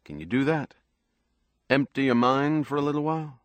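A man voicing a world-weary, cautious man who is blunt yet protective, in measured, quietly firm audiobook dialogue. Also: mid-range voice, lively intonation, no echo, voice pushed up, natural voice. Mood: soothing, instructing.

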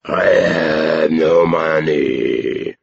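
Talking dog for an audiobook, male reader performing: male, obedient, whining and growly, gravelly, a bit muffled. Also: very deep voice, drawn-out words, no echo, exaggerated voice. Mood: eager, whining.

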